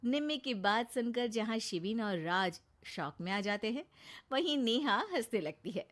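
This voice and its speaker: Lively high-voiced woman storyteller. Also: normal speed. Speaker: narrator. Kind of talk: scripted Hindi storytelling for a cartoon.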